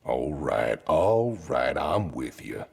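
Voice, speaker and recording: A hulking, world-weary convict from a video game. The voice is extremely low and husky, with a gruff, gravelly drawl. He talks slowly and sounds cynical. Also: big pitch swings, full character voice. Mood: grudging. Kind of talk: video game cutscene acting. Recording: reverberant hall with music underneath.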